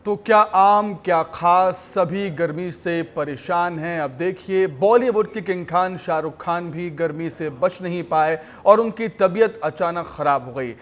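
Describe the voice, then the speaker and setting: A man presenting the news as a studio-style anchor. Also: on the high side.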